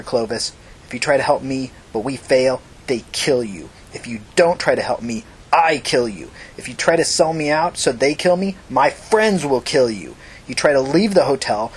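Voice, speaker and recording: A man's voice: medium pitch, slightly rough. A man voicing a vengeful, foul-mouthed young woman who threatens and commands in short emphatic bursts in an audiobook story.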